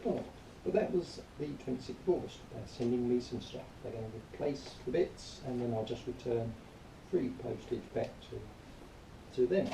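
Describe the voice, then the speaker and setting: Dark-voiced man, distant in an echoey room. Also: mid-range voice, moderate pace, creaky. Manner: rambling, matter-of-fact grumbling. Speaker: product reviewer. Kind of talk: informal spoken review, partly reading aloud. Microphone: camera at a distance. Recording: distant quiet mic, roomy echo, faint noise.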